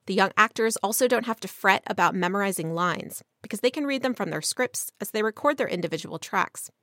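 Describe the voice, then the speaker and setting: A woman's voice: mid-range voice, animated, fairly quick, pushed and bright, no echo, clean studio sound. A woman reporting a scripted radio news feature as narrator.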